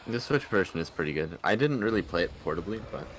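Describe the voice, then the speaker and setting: A man's voice: deep voice, animated, fast talker, faint music behind, low-quality recording. A man from the United States, giving easygoing casual commentary alongside a game.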